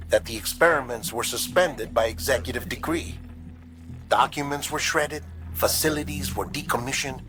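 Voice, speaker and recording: An actor playing a thoughtful, articulate researcher in a video game, explaining in a measured, formal and grave way. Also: male voice, mid-range voice, lightly acted. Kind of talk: video game cutscene monologue.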